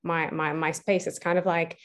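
Young adult woman, lowish voice, interview guest, reflective and full of fillers. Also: level tone, normal speed. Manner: reflective, many fillers and restarts. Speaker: guest, entrepreneur. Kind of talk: casual interview answers.

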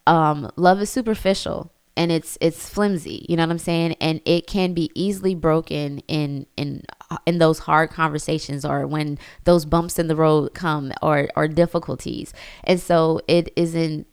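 A woman's voice: sits low, fairly even intonation, measured pace, a little mellow, clear, resonant tone, no room sound, clean studio sound. A young woman thinking aloud in a personal reflective monologue, full of fillers and restarts. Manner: thoughtful, frequent fillers and restarts. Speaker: reflective speaker.

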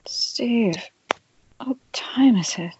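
Slow, drowsy wife played by a woman. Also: a little high, lively intonation, mellow. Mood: sleepy. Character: sleepy, calm wife woken by phone call. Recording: muffled, noisy internet call.

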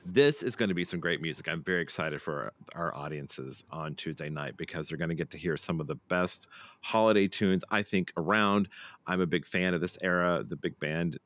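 A man's voice: low voice, fairly quick, fairly bright tone. A man chatting jovially as a guest in a radio studio interview. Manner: jovial, playful. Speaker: radio station director and guest. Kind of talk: casual radio interview chat.

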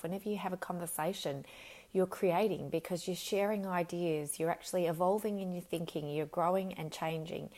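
Aussie woman hosting her own podcast, bit croaky today, lowish voice, lots of ums. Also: a little mellow. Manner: earnest, reflective, with frequent ums and self-corrections. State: slightly croaky voice. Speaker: podcast host, leadership coach. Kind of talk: unscripted solo podcast talk.

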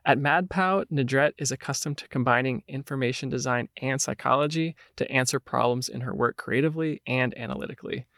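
Gravelly-voiced upbeat podcast host. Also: male voice, mid-range voice, fairly quick, hardly any pauses, a bit bright, no room sound, clean studio sound. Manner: upbeat, reads announcements then chats loosely. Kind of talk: scripted podcast intro then casual interview.

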